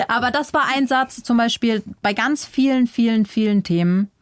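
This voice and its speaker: A young German-born woman author whose first language is Vietnamese, speaking reflectively in a relaxed interview and thinking aloud with small restarts. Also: fairly high pitch, moderate pace, clear, resonant tone, dry recording, clean studio sound. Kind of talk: casual interview talk.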